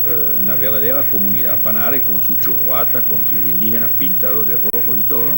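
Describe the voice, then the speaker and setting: An older man with a French accent, native French speaker, recalling his years of fieldwork as an ethnologist in a reflective, hesitant way, pausing often. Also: lowish voice, creaky, crowd noise, low-quality recording. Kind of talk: documentary interview recollection. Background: soft music.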